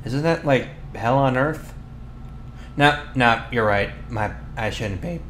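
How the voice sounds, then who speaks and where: A man playing a flustered, defensive teenage bully with a crush in an ASMR boyfriend roleplay, talking in a halting, sheepish, slangy way. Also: medium pitch, fairly bright tone. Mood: curious, awkward. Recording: roomy echo, clear background noise.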